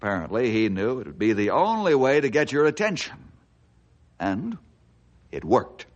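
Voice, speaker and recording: An actor playing an earnest, righteous forest ranger hero with an authoritative radio drama delivery. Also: male voice, medium pitch, big pitch swings, moderate pace, highs cut off, close to an everyday voice. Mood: firm, earnest. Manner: authoritative, declamatory. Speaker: lead ranger of a radio serial. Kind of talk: radio adventure drama acting.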